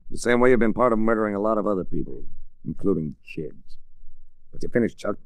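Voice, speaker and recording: An actor playing a tough, cool-headed adventurer in a 1940s radio drama, clipped and commanding. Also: male voice, fairly low pitch, lively intonation, fast talker, no room sound, low-quality recording. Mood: cold, accusing.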